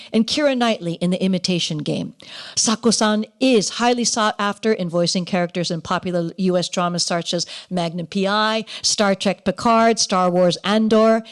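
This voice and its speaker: A woman hosting a live awards ceremony, speaking effusively and emphatically with halting, dramatic pauses. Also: medium pitch. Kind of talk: live event hosting, introducing presenters. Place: live in-person ceremony before an audience.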